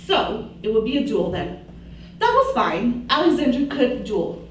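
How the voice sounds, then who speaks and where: A woman's voice: a little high, a little mellow, slight background noise. A woman co-hosting a live show, narrating a dramatic book reading in an amused, giggly way. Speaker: co-host. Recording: echoey room, distant mic.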